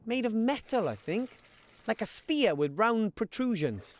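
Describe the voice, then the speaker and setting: A man's voice: sits high, sing-song, a little slow. An actor playing a timid, bumbling young male gnome adventurer in a game, fumbling and stammering as he gropes about. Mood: puzzled. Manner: fumbling, hesitant, stammering when startled. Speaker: game character.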